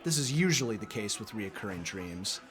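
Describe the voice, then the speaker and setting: An actor playing a thoughtful, helpful male friend in an audio drama, measured and inquisitive. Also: lowish voice, sing-song, a bit bright. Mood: curious, analytical. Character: thoughtful, helpful male friend who knows dream theory.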